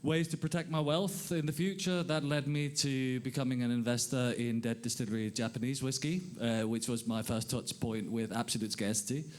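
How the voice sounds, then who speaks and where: Halting middle-aged man on a panel. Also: medium pitch, steady tone, a little hiss or hum. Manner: halting, many filler pauses. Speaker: conference panelist. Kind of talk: panel discussion. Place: conference panel stage.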